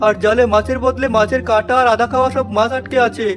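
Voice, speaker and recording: A man playing a concerned, wondering village fisherman in an animated story. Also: very high voice, fast talker, over music. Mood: worried. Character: village fisherman, longtime river man, anxious companion.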